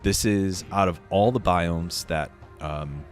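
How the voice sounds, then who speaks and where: A man casually commentating his game on a live stream, a little hoarse and sniffly from a cold, laid-back and chatty. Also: low voice, slow pace. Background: faint game music.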